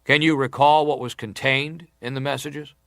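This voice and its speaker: An actor playing an American senator who questions a witness formally, measured and insistent, in a dramatized hearing. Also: male voice, mid-range voice, fairly even intonation, vocal fry, fairly bright tone, no echo, studio quality.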